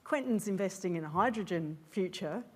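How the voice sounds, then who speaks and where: Woman founder fielding judges' questions, hesitant, lots of fillers, mid-pitched. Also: expressive, brisk, darkish tone. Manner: hesitant, many fillers and restarts. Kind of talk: pitch Q&A answers.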